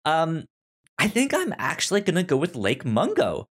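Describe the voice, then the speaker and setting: A man co-hosting a podcast in casual chat, haltingly, often repeating and restarting his words. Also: a little high, wildly swooping pitch, fairly bright tone. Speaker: podcast co-host.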